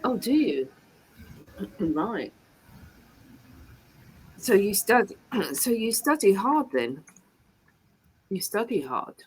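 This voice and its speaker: A woman's voice: mid-range voice, sing-song, takes it slowly, pauses often, slight background noise, poor audio. A woman from England, tutoring a learner one to one and repeating and rephrasing her questions patiently. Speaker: English tutor. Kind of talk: online one-to-one English lesson.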